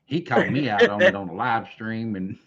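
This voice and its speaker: An easygoing man with a lowish voice, teasing and chatting on a livestream. His audio comes through dull and lo-fi. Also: sing-song, a little fast, a crowd in the background. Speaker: livestream panelist. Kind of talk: casual livestream chat.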